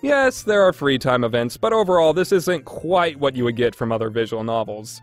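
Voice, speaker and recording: Guy doing a scripted game countdown review, opinionated, really up and down pitch, faint music behind. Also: very animated, normal speed. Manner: opinionated, informal. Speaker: video game reviewer and host.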